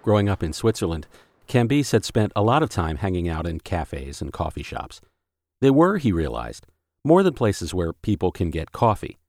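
A man's voice: low-pitched, very animated, normal speed, a little mellow. A male podcast host narrating a scripted story and posing rhetorical questions.

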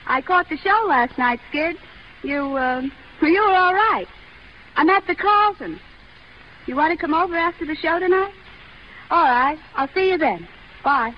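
A woman's voice: very high voice, slight background noise. A woman acting in a 1930s radio drama as a plainspoken, wistful young showgirl. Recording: muffled 1930s radio broadcast.

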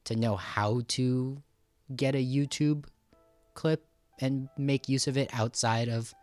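Male podcast host, mid-pitched, dry close sound with music behind, casual and searching. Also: steady tone, a little mellow, no echo. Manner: halting, thinking aloud. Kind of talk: casual interview talk.

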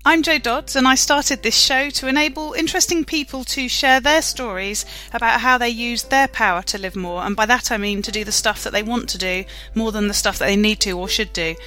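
A woman's voice: on the high side, steady tone, a little fast, sharp tone. A woman hosting an interview podcast, asking questions in a friendly, encouraging way. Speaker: podcast host.

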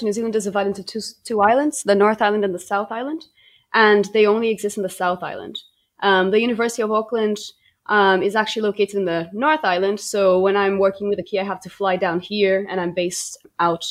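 A young woman from Brazil, a native Portuguese speaker, talking casually about her research as a podcast guest, with frequent ums and restarts. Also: medium pitch. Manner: chatty, many ums and restarts. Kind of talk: podcast interview, casual storytelling.

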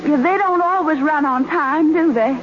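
Sweet, coy young sweetheart, female actor, very high and gently hesitant, noisy 1930s radio romance. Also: moderate pace, highs cut off, close to an everyday voice. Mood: coy, hopeful. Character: sweet, coy young woman coaxing her shy sweetheart.

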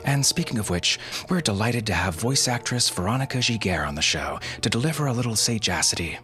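A man hosting a podcast with scripted comedy, speaking in a deadpan, tongue-in-cheek manner. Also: on the low side, a little fast. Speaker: podcast host. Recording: music behind the voice. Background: music.

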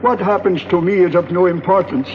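Man playing sick old refugee guy, high plaintive voice, muffled hissy old tv drama sound. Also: a little fast, lightly acted. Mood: earnest, resolute. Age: older. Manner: earnest, formal, plaintive. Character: sick, humble, hopeful old male refugee. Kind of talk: 1950s television drama acting. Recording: dull, noisy vintage broadcast soundtrack.

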